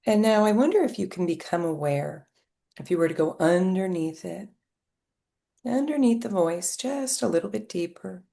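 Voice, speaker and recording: Low, gravelly, soothing woman guide. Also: expressive. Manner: slow, soft and soothing, with gentle pauses. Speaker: hypnotherapist guiding a session. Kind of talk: guided hypnosis session.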